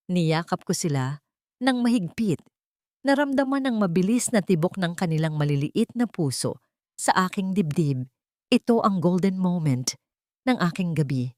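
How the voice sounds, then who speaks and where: A woman's voice: lowish voice, expressive, moderate pace, darkish tone, no echo, studio quality. A woman reading scripted, dramatic narration as a storyteller.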